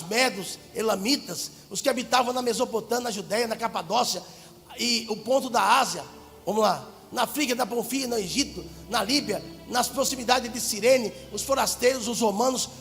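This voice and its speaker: A Brazilian man preaching with fervor, his voice strikingly high and gravelly. He lurches from shouts to hushed asides. The sound carries the long reverb of a big church hall, with faint music underneath. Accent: Brazilian Portuguese. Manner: fervent, swings from loud shouts to low asides. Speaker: pastor. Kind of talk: preaching a sermon.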